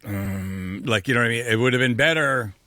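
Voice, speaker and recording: A man's voice: medium pitch, brisk, bright, forceful tone, no room sound, clean studio sound. A man chatting casually about a film, often checking that he is being followed.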